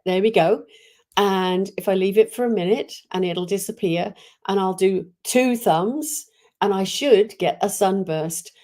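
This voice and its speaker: A woman's voice: medium pitch, mellow. A woman chatting casually and enthusiastically to viewers on a livestream, rambling from one thought to the next. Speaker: spiritual medium hosting a live online chat.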